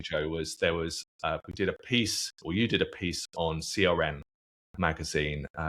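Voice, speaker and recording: A man hosting a podcast interview, asking relaxed, rambling questions. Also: sits low. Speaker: podcast host. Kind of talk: casual interview chat.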